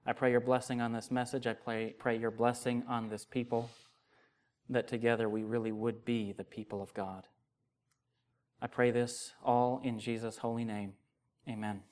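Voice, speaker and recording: A man's voice: medium pitch, flat delivery, a little mellow. Young Midwestern male pastor, earnest sermon delivery, mid-range voice. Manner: earnest, measured, grows more emphatic. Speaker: associate pastor. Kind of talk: sermon with opening prayer.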